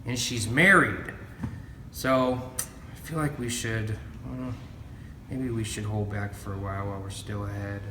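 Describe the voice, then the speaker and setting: A man's voice: lowish voice, steady tone, measured pace, close to an everyday voice. A man playing an obsessive, deluded young student on trial, with casual slangy college speech, in an amateur student film. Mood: conflicted muttering. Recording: echoey, distant mic, clear background noise.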